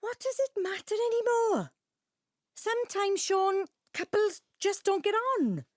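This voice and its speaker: An actress playing a middle-aged Welsh daughter in an audio drama, a chiding, anxious peacekeeper with a South Wales accent. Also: female voice, very high voice, sing-song, a little slow, no room sound, studio quality, exaggerated voice. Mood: exasperated, pleading. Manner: chiding, pleading. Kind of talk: naturalistic audio drama acting.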